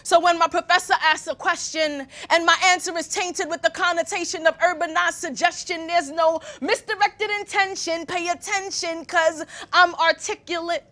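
A young woman poet with a very high, forceful voice. She declaims spoken word in an emphatic rhythm, shaping each phrase with deliberate, articulate diction. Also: steady tone. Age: young adult. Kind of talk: performed spoken word poetry.

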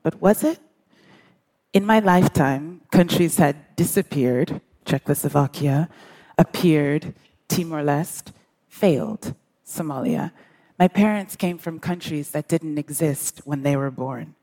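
A woman from the United States delivers a prepared talk on stage. Her voice is low and mellow, and she phrases things deliberately, leaving dramatic pauses for weight. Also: rough recording. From grew up in the United States. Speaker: author, public speaker. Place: on stage at a live conference.

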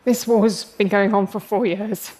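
A woman's voice: medium pitch, fairly even intonation, a little slow, a little mellow. A young English woman with an English accent giving a prepared public talk, passionate and wry with self-deprecating humor. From England.

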